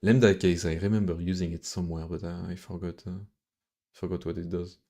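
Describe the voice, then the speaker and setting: A man's voice: deep voice, flat delivery, fairly quick, mellow. A man with a French accent, a native French speaker, thinking aloud in casual, hesitant mutters as he live-streams his programming.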